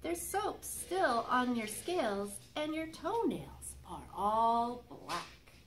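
A woman plays a motherly storybook dinosaur who fusses lovingly over her little one. The delivery is slow and sing-song, with big swoops in pitch. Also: big pitch swings, slight background noise. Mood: gently scolding. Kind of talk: picture-book read-aloud.

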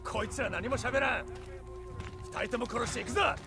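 A ruthless soldier, worked up, really high and rushed, war game cutscene. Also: male voice, creaky, music in the background. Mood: agitated, alarmed. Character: ruthless, agitated Japanese soldier. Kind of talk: video game cutscene acting.

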